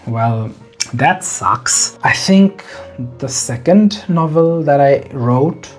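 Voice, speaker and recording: A man's voice: medium pitch, a little mellow. A man in his twenties from Bangladesh, talking casually and rambling about writing, with self-deprecating asides. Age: 20s. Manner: rambling, self-deprecating, chatty with small laughs. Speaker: novelist vlogger. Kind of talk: casual talk to camera.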